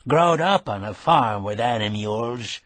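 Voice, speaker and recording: A man voicing a frail old rural caretaker who speaks slowly in short, country-grammar sentences, with a nasal, juicy lisp. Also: medium pitch, lively intonation, a bit bright, no room sound. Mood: fond, reminiscing. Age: older. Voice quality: nasal resonance and juicy, lisping sibilance.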